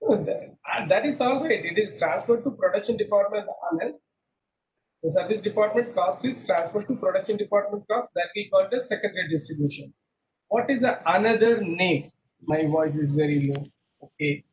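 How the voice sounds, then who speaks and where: High-voiced teacher guy on a webcam call, mixes hindi into english, keeps asking the class questions. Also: animated, normal speed, a little hiss or hum, poor audio. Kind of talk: online class lecture, quizzing students. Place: small room indoors. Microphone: laptop webcam on a video call.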